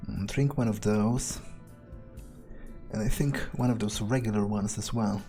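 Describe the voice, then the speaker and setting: A man's voice: on the low side, fairly even intonation, measured pace, warm tone, faint music behind. A man voicing a cynical, amused, ruthless vampire as he improvises in-character roleplay in a video game. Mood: musing. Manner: hesitant, musing, sardonic, swearing.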